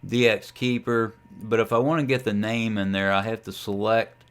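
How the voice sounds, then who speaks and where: A man giving a casual, folksy tutorial as a ham radio hobbyist, talking through a software demo in an unhurried way. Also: lowish voice, level tone, smooth. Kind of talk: casual screen-share tutorial.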